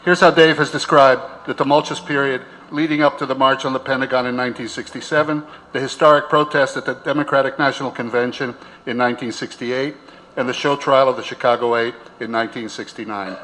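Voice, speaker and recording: A man's voice: mid-range voice, fairly even intonation, fairly quick, fairly bright tone, low-quality recording. A man reading scripted narration as the narrator of a documentary.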